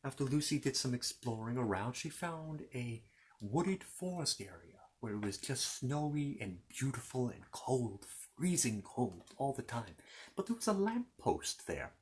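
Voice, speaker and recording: A man's voice: mid-range voice, big pitch swings, measured pace. A man playing a fussy, courteous storybook keeper of histories in an ASMR roleplay, hesitant and rambling as he tells his tale. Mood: storytelling. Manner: hesitant, rambling, apologetic, repeats himself. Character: fussy, courteous Narnian bookkeeper recounting his world's history.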